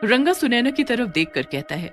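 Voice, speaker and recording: A woman narrating a scripted cartoon story as an expressive storyteller. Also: on the high side, moderate pace, faint music behind.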